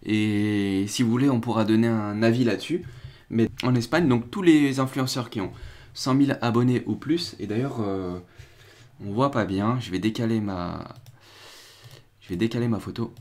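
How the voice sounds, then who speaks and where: A man's voice: on the low side, moderate pace, a little mellow. A young man casually commenting on crypto news during a live stream, rambling with restarts and reading from the chat. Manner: rambling, restarts and fillers, chats with viewers.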